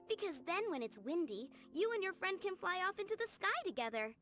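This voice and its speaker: A woman's voice: extremely high pitch, very animated, moderate pace, a bit bright, faint music behind, full character voice. A woman voicing a dreamy young flower shop girl who talks in a sweet, childlike way, in a video game.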